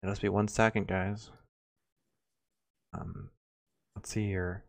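A man's voice: lowish voice, little pitch movement, slow pace, creaky. A man in his twenties hosting a casual livestream with informal, teasing banter. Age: 20s. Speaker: show host.

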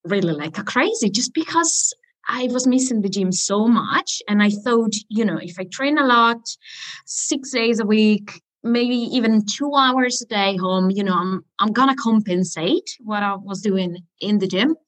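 An Italian-born woman with a mixed accent, speaking as a guest in a relaxed, conversational way. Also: mid-range voice, measured pace, rough recording. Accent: mixed, Italian with Irish influence. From Italy. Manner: relaxed, conversational, with fillers like "you know". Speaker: guest, coaching client. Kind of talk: podcast interview.

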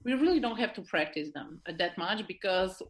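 A woman with a Slovenian accent speaks as a guest in an informal interview. She talks conversationally at a middle pitch, often tagging her points to check that the listener agrees. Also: lively intonation, normal speed. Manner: informal, often seeks agreement with tag questions. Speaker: interview guest, leadership expert.

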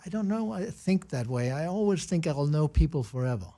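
A middle-aged or older man from Denmark with a Danish accent, talking casually and reflectively as an interview guest in halting phrases. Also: fairly high pitch, lively intonation, mellow. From small rural town in Denmark. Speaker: interview guest, business advisor.

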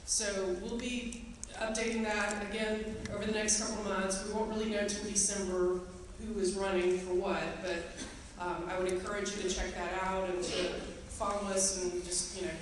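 A woman, giving a chatty, earnest talk to a room of educators with many ums and you-knows. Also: medium pitch. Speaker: speaker at a teachers' association meeting. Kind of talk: casual talk to an audience. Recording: roomy, echoey hall from far off with ambient noise.

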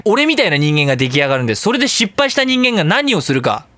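A man hosting a comedic web radio show, telling stories in a casual, animated way and acting out conversations in a self-deprecating tone. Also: high-pitched, rapid-fire, no echo. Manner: animated storyteller, acting out both sides of conversations. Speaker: radio show host and creator of radio dramas. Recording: music playing behind the voice. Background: background music.

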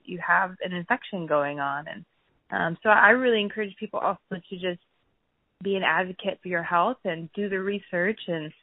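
Hoarse woman on a tinny line. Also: lowish voice, no room sound. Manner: giggly, says she is losing her voice. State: hoarse, clearing throat. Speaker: podcast guest. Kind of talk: casual interview chat. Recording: dry phone-like line.

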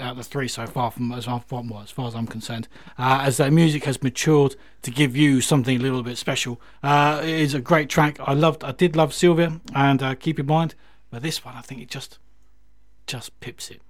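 A man's voice: mid-range voice, steady tone, a little fast. A man hosting a radio music show, introducing tracks in a casual, chatty, enthusiastic and rambling way.

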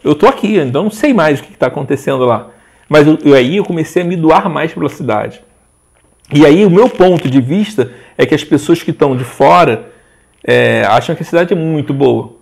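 A man in his forties, a guest in a casual interview, talking in a rambling, animated way with frequent restarts. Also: on the high side, brisk, creaky, breathy edge. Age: 40s. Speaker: interview guest, social media page owner.

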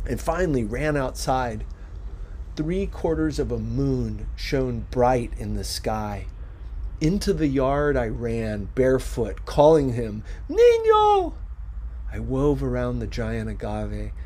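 A man reading a novel aloud as expressive scripted narration, with measured, dramatic phrasing. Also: medium pitch. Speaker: audiobook-style reader. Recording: faint background noise.

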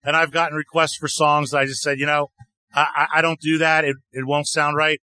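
A man talking about his music as an interview guest, loose and conversational, leaning on "you know" between thoughts. His voice sits a little high for a man and comes through bright and forward. The sound is close and dry. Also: brisk, clean tone, dry recording. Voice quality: deeper voice. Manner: relaxed, chatty, frequent "you know" fillers. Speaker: guest singer-songwriter. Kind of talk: casual interview answers. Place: outdoor music festival.

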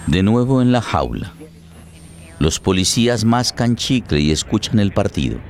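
A man with a deep voice narrates a radio drama. His phrases are short, measured and deliberate, set over prominent music. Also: animated.

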